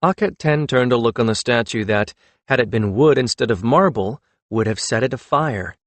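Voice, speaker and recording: A man's voice: medium pitch, moderate pace, no room sound. Male audiobook narrator, very dry sound. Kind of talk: scripted fantasy story narration.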